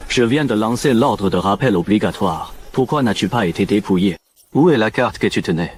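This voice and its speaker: A man playing a cold, controlling casino master villain in a dubbed animated series. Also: lowish voice, moderate pace. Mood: commanding. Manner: haughty, controlling.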